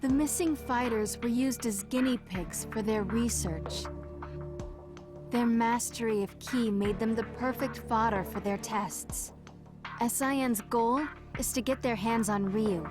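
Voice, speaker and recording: A woman voicing an earnest, serious agent giving a briefing in an animated film dub, explaining plainly. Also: fairly high pitch, a little slow, close to an everyday voice. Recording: music behind the voice.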